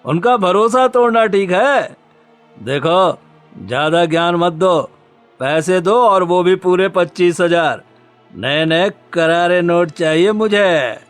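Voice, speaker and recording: A man's voice: sits high, soft background music. A man voicing a smug, scheming blackmailing neighbour in a cartoon story, with a taunting, theatrical manner. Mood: mocking, demanding. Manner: taunting, drawn-out, theatrical menace. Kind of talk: Hindi cartoon story dubbing.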